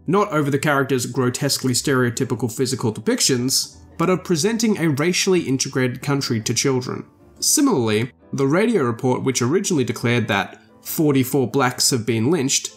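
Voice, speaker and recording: A man hosting a podcast, reading scripted commentary and then chatting casually through listener comments. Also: medium pitch. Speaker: podcast host.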